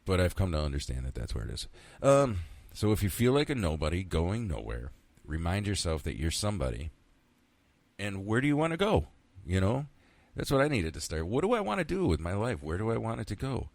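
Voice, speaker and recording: Low-voiced man in his fifties rambling. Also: sing-song, fairly quick, creaky, a little mellow, no room sound. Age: 50s. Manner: rambling, self-talking, frequent swearing. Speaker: podcast host. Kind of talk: casual solo podcast monologue.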